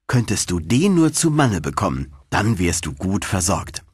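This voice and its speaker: A man's voice: deep voice, very animated, moderate pace, slightly rough, no room sound, exaggerated voice. A man voicing a kindly, homely old female field mouse who likes to give advice in a storybook reading. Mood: kindly, persuasive.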